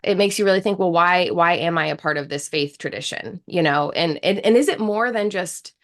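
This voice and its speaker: Woman, low voice, thirties, earnest, reflective, interview guest. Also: a little fast. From United States. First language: English. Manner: earnest, reflective, many restarts. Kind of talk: casual interview talk.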